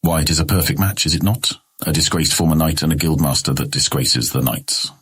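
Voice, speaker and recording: An actor playing a scheming, condescending noble brother who mocks his younger sibling with cold sarcasm in a husky voice. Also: extremely low pitch, a little fast, fairly bright tone, a little hiss or hum. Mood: scornful. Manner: smug, mocking, coldly sarcastic. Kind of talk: audio drama acting.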